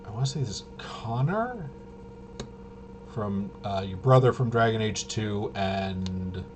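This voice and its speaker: A man with a fairly low voice talks through a tabletop game in a casual, improvised way. He is halting and often mutters to himself as he thinks aloud. The recording has clear background noise. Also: expressive. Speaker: game master demonstrating a tabletop RPG. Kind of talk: casual improvised narration of solo tabletop gameplay.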